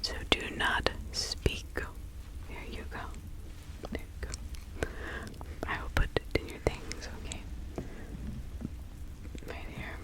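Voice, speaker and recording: A woman whispering slowly and breathily in an ASMR roleplay, playing a kindly, protective witch who gives careful instructions. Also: rough recording. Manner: slow, deliberate, instructing with soft repetition. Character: kindly, protective 17th-century witch woman giving counsel.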